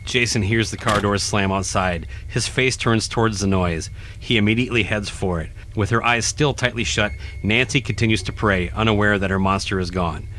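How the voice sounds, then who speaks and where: A man reading scripted horror narration in a dramatic, deliberate storytelling manner. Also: lowish voice, a bit bright, slight background noise. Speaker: narrator, podcast host.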